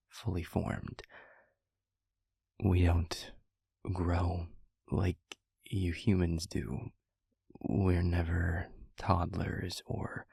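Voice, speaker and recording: A man voicing an adult demon mentor in an intimate roleplay audio, confiding gently in the listener in a breathy, gravelly, halting murmur. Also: low voice, no room sound, studio quality, full character voice. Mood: reflective. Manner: hushed, halting, unhurried, confiding. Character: adult male demon mentor, reflective, gentle, quietly amused. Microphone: close microphone, dry.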